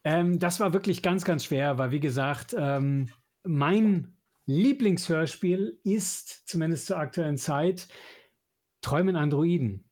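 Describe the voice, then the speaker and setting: A man's voice: on the high side, measured pace, darkish tone. A man co-hosting a podcast, chatting casually and explaining things with enthusiasm. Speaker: podcast co-host. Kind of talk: casual chat about audio dramas and films.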